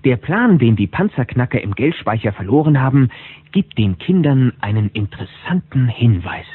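A man's voice: mid-range voice, normal speed, husky, no room sound, narrow, tinny audio. A man narrating a children's audio drama as a storyteller, speaking to the listeners from a script. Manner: animated storyteller addressing listeners. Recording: band-limited old cassette with music.

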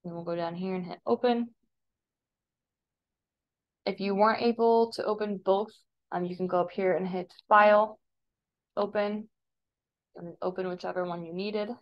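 An American woman teaching a step-by-step software tutorial in an informal, instructive way. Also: medium pitch, steady tone, moderate pace, pauses often, highs cut off. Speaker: digital design teacher. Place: voice-over for a screen recording.

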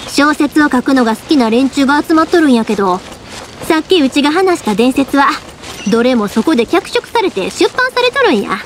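An actress playing a cheerful young fireworks-shop owner with a Kansai accent, lively and chatty. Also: female voice, sits high, brisk, a little hiss or hum. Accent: Kansai Japanese. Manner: lively, chatty, warm teasing. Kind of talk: game voice acting. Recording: game audio with music behind.

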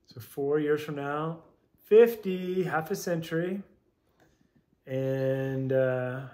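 A man's voice: mid-range voice, darkish tone. A man in his forties leading his family through a home video, upbeat and teasing as he quizzes each person, recorded on a phone at arm's length in a living room. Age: 40s. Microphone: phone camera held at arm's length.

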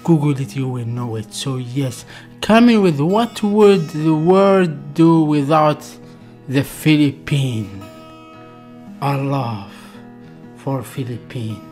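A man's voice: mid-range voice, lively intonation, takes it slowly, darkish tone, faint music behind. A man in his thirties with an Algerian accent and non-fluent English gives effusive, casual reaction commentary, often repeating words and phrases. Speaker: reaction video host.

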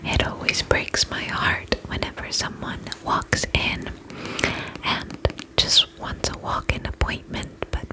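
A woman in her twenties doing a soothing, attentive ASMR roleplay as a spa attendant, whispering softly close to the microphone. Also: low-quality recording. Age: 20s. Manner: soft, soothing, attentive customer-service patter. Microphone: close microphone, face near camera.

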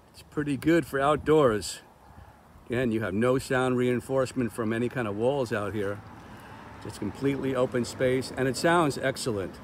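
A man giving casual product demo commentary as a gear reviewer, talking outdoors in the open. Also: mid-range voice. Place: open park lawn outdoors. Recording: outdoor recording with street traffic noise. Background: passing cars, outdoor ambience.

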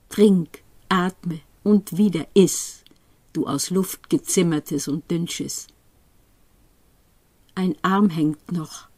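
A woman giving an expressive, dramatic recitation of poetry, with deliberate phrasing that moves between forceful exclamation and hushed drops. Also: lowish voice. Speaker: poetry reader.

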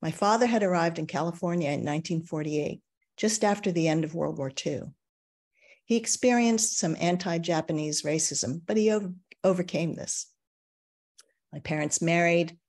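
An older American woman reads her personal essay aloud on a video call from a home study, measured and reflective, with emphatic turns. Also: on the low side, a little mellow. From United States. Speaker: essayist reading her work.

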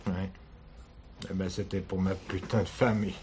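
An actor playing a wounded, weary husband suspected of murder, halting and defensive, in a French radio crime drama. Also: male voice, low-pitched, level tone, a little mellow, natural voice. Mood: bitter, hurt. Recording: noisy old radio drama recording.

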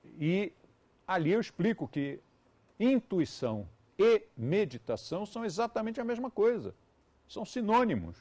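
A man's voice: high-pitched, very animated, normal speed, a little mellow. A man in his seventies with a Brazilian Portuguese accent, giving a live lecture to students in a conversational way with ironic asides. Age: 70s. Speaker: yoga teacher.

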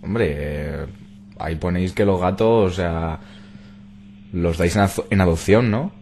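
A man's voice: low voice, unhurried, a little mellow. A young man with a Spain Spanish accent making a prank phone call in casual, stumbling, repetitive phrases. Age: young adult. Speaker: prank caller and video host. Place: small bedroom indoors. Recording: noisy hum behind voice.

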